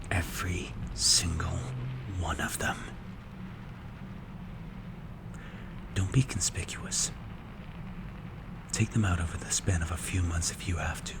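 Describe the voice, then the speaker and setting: A man playing a strict, calculating mafia boss in an ASMR roleplay, speaking in a hushed, breathy, measured and menacing way. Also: deep voice, noisy background. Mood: cold, commanding. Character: strict, cold, calculating male mafia boss mentoring a protégé.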